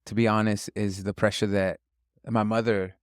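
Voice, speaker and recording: A man from Northern California speaking as a chef in a casual interview, in an earnest, reflective and halting way. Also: lowish voice, little pitch movement, clean tone, no echo, studio quality. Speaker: chef and restaurant guest.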